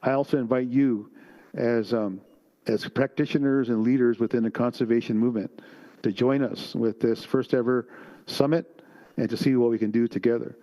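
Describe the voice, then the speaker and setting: A man giving the closing remarks of a symposium talk, earnest and a little halting. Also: mid-range voice, steady tone, dark tone. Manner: earnest, with hesitations. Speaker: guest speaker at a symposium. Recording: long hall reverb.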